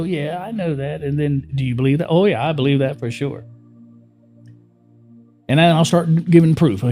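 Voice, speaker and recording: A man speaks in a mellow, slightly raised voice, talking loosely and conversationally about spiritual ideas. He repeats words, chuckles often and keeps asking the listener rhetorical questions. Soft music plays quietly behind him. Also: fairly high pitch. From born in the US Northeast, raised in California. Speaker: spiritual teacher. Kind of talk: unscripted spiritual teaching to camera.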